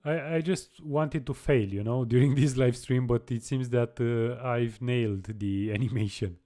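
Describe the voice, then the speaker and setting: A young man chatting casually on a live coding stream, halting and restarting his sentences as he answers viewers. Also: medium pitch, warm tone. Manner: halting, many restarts and repeated words.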